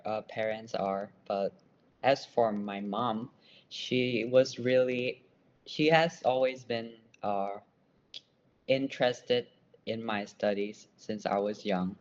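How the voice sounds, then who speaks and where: A man's voice: mid-range voice, lo-fi sound. A young man with a Burmese accent answering as a student guest in a casual podcast interview, self-deprecating and hesitant. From Myanmar. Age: young adult.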